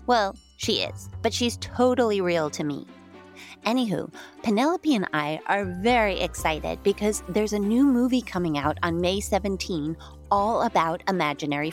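A woman presenting a scripted but chatty ad for children in a playful, conversational manner. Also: medium pitch. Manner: playful, conversational, addressing kids.

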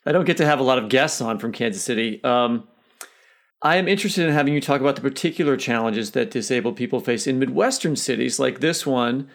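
A man's voice: medium pitch, fast talker, creaky. Brief: American male podcast host, mid-range voice, conversational and a little roundabout. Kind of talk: conversational interview questioning.

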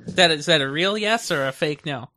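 A man's voice: on the high side, fairly quick, bright, forceful tone. A man co-hosting a podcast in casual, conversational back-and-forth chat. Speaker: podcast co-host.

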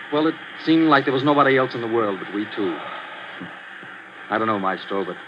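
Earnest young fighter, played by a man: lowish, plain and direct, 1940s radio drama with hiss. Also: lightly acted. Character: young boxer quitting the ring, earnest. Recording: hissy lo-fi old radio recording.

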